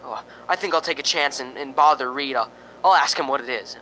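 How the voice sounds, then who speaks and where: Man acting a jittery, bright-voiced radio reporter. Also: medium pitch, brisk, a little hiss or hum, lightly acted, rough recording. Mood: uneasy, rambling. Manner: nervous, stammering, rambling with frequent sighing ohs. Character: jittery, lonely radio reporter broadcasting from a haunted house. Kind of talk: spooky radio drama acting.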